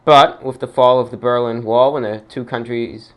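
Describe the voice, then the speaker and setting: A man's voice: medium pitch, steady tone, moderate pace, fairly bright tone. A man hosting an informal radio-style show, speaking like an announcer with emphatic delivery. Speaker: show host.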